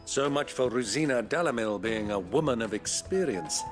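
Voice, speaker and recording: An actor playing a grumbling, sardonic older uncle in a video game, scornful and easily riled. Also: male voice, mid-range voice, animated, moderate pace, music in the background, close to an everyday voice. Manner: scornful, dry, indignant. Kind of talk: dramatic video game acting.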